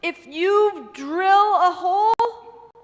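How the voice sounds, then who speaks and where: A woman voicing a proud prince who has become frightened, pleading in a high, near-shouting voice. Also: very slow, heavily acted. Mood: panicked. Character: proud prince turned panicky and fearful. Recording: echoey hall with long reverb.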